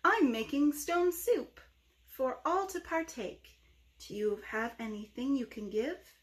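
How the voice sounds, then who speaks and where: Woman reading as a hopeful hungry wanderer, earnest, high and unhurried. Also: expressive. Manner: pleading, earnest. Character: lost hungry neighbor, hopeful and kind.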